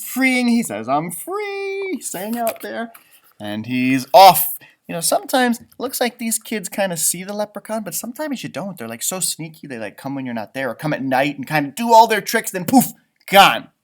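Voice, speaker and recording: Male storytime teacher, highly animated with big pitch swings, reading picture books and chatting to young children. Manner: animated, expressive, exclaiming and musing aloud. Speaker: storytime reader and elementary teacher. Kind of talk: children's picture book read-aloud with chatty asides.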